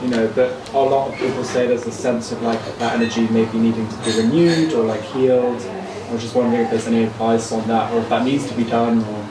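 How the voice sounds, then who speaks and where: A man's voice: medium pitch, fairly even intonation, fairly quick. Distant, echoey man asking tentatively. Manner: tentative, meandering, peppered with "like". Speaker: audience member asking a question. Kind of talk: casual spoken question. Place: audience area at a live talk. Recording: echoey, distant mic, loud room noise.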